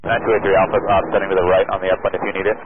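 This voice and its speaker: A man's voice: low voice, flat delivery, rapid-fire. A man speaking as a pilot in aviation radio communication, heard through an aircraft radio. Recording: noisy, narrow-band aircraft radio transmission.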